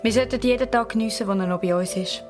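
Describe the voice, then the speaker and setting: A woman playing a gentle, affectionate mother with a Swiss accent in a children's radio play. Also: a little high, quick pace, soft background music, natural voice.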